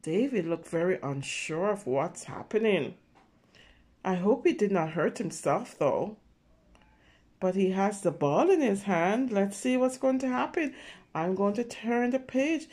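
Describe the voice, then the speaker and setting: A woman reading a picture book aloud with simple, expressive commentary for young children, exclaiming and checking in with her listeners. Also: mid-range voice, unhurried. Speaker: children's story reader.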